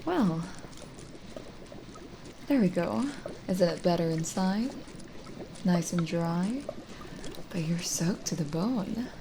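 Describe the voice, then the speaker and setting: A woman playing a lonely, kindly witch in an ASMR roleplay, speaking gently and coaxingly with hesitant pauses and drifts into whispering. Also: fairly low pitch, lively intonation, background noise. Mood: reassuring. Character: lonely, kindly forest witch, soothing and hospitable. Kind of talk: ASMR roleplay audio drama.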